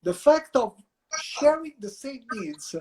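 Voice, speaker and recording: A man's voice: very high voice, very animated, slow, warm tone. Casting note: Italian-accented male professor, explains in long winding sentences, faint noise behind. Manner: lecturing, discursive with restarts. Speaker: university professor, guest. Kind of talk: guest answering in an interview.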